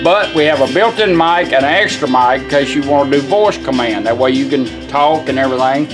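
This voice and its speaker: A man's voice: mid-range voice, a little fast, over music. A man giving a casual, hands-on product demonstration, explaining controls step by step in a folksy, informal way. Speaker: product demonstrator, radio builder.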